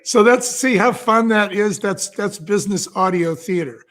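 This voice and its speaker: An older man chatting casually as an interview guest, telling anecdotes in an animated way and acting out what people said. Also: sits high, moderate pace. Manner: animated storyteller, acting out quotes. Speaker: guest, business co-founder. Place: indoors, live video call.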